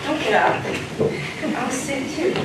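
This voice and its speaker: Woman acting a slow, echoey, theatrical oddball guest. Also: high-pitched, expressive. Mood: odd, insistent. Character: odd, intense female guest who recites dramatically. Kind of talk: amateur stage play acting. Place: stage of a school theater, distant microphone. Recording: very echoey, noisy hall from far off.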